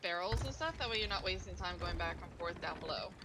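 A woman's voice: fairly low pitch, lively intonation, fast. A woman explaining and giving directions as a crewmate in casual online game chat. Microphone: online voice chat mic. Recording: noisy game voice chat with clicks.

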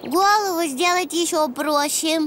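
A performer voicing a cheerful, curious little donkey boy in a children's cartoon, with a simple, expressive childlike delivery. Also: very high-pitched, a little slow. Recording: music behind the voice. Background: children's cartoon music.

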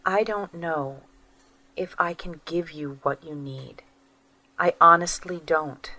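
A woman's voice: low voice, slow, sharp tone, heavily acted, poor audio. An actress playing a tired, guarded wife who is weighing a divorce from her husband in an audio drama. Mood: weary. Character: Maria, estranged wife weighing divorce, weary.

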